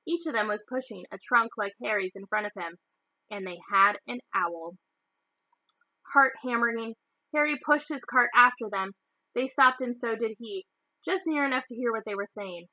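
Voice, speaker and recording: A woman's voice: on the high side, moderate pace, sharp tone, dry recording, poor audio. A woman reading a children's novel aloud as a storyteller. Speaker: storybook reader.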